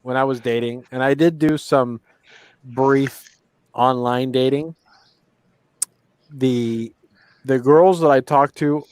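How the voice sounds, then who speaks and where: A man's voice: medium pitch, fairly even intonation, takes it slowly, pauses often, darkish tone, clean tone, no echo. A man chatting casually on a live stream, tipsy and rambling, joking at his own expense. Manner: rambling, tipsy, self-mocking. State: drunk. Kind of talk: casual chat.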